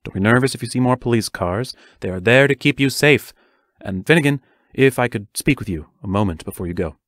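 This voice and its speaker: A man's voice: medium pitch, animated, brisk, a bit bright, no room sound, clean studio sound, close to an everyday voice. An actor playing a serious, protective male schoolteacher who speaks in careful, formal phrases in an audio drama. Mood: firm, caring.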